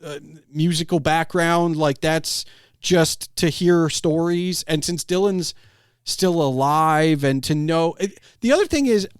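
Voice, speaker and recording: A man's voice: a little high, measured pace, clear, resonant tone, no echo, clean studio sound. A middle-aged man in casual podcast conversation, rambling and digressive, often breaking off his clauses to start another. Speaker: podcast host.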